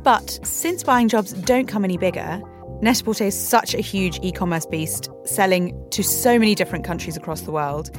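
A woman's voice: medium pitch, normal speed. A woman hosting a fashion podcast, reading a polished, scripted introduction. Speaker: podcast host. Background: music.

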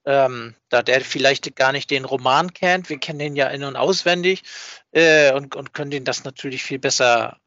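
A man in casual podcast conversation, speaking haltingly and often checking for agreement. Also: medium pitch, sharp tone, no echo, dull audio. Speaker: podcast co-host.

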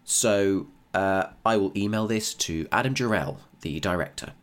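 A man's voice: low-pitched, a little slow, faint music behind. A British man giving a casual, candid, self-deprecating behind-the-scenes audio diary as a podcast writer, with some swearing.

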